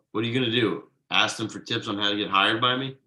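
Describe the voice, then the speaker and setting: A man in a script table read playing a defensive, evasive husband and father, conversational and a little halting. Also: on the low side, bright, forceful tone, low-quality recording. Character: defensive, evasive middle-class husband and father writing ad copy.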